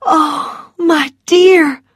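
A woman voicing a gentle but firm young married lady in an audiobook, earnest and urgent. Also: very high voice, extremely slow, no room sound, clean studio sound, pitched above the performer's own voice, exaggerated voice. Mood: tearful sympathy.

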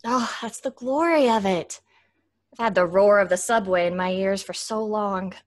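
A woman's voice: medium pitch, moderate pace, lightly acted. A woman playing a sensible, schoolteacherly leader of younger friends, explaining things properly in old-time radio drama style. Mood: patient, instructive.

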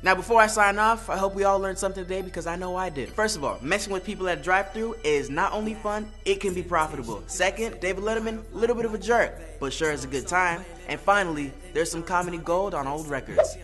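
A young American man presenting a scripted comedic web show in a playful, animated, slangy way. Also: high-pitched, fast, few pauses, soft background music. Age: young adult. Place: studio with green screen, music behind.

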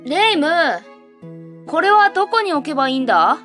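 A woman's voice: high-pitched, very animated, moderate pace, a bit bright, soft background music. A woman playing a mischievous tomboyish young witch in an anime-style fan voice drama, brash and boyish in her speech. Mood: teasing, grumbling.

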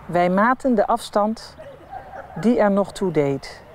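A woman reciting poetry in measured, solemn phrases with pauses. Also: mid-range voice, expressive. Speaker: poet. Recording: faint ambient noise.

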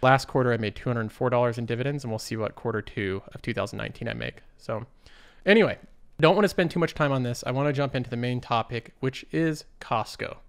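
A man's voice: medium pitch, fast. A young man hosting an investing show, explaining a company in a casual, upbeat, step-by-step way over slides. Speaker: investing channel host.

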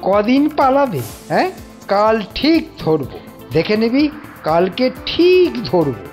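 A woman's voice: medium pitch, wildly swooping pitch, a little slow, darkish tone, soft background music. A woman voicing a determined, boastful village fisherman in a children's cartoon.

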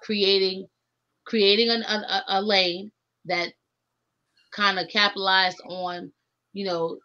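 A woman's voice: medium pitch, level tone, slow, sharp tone. A middle-aged woman, a rap artist, chatting earnestly and reflectively on a video call from a home studio. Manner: earnest, reflective, laughs often. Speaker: rapper guest. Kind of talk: casual video call chat. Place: home studio room with framed records. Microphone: webcam on video call. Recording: dull lo-fi video call audio.

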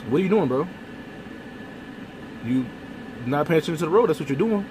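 A man's voice: fairly high pitch, brisk. A young man, a truck driver, talking bluntly and with animation to a phone camera from his truck's sleeper cab. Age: young adult. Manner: blunt, animated, with mild cursing. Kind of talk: casual vlog monologue. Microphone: phone camera close up. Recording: road and air-conditioning noise in truck cab. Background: vehicle and air-conditioning hum.

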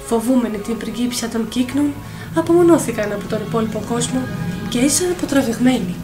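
A woman narrator reading a dramatic, scripted fairy tale for an animated film. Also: on the high side. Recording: voice over clear film music.

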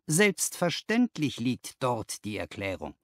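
A man voicing an excited, commanding detective in an audiobook, with emphatic exclamations. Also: medium pitch, slow pace, no echo, studio quality, full character voice. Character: excited, commanding male detective, sharp and assured. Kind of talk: audiobook character dialogue.

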